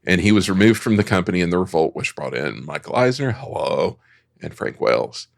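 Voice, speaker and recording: Male podcast host, dry close sound, fry in the voice, semi-scripted narration loosened with casual asides. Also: low voice, animated, a little fast, no echo. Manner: conversational, joking asides.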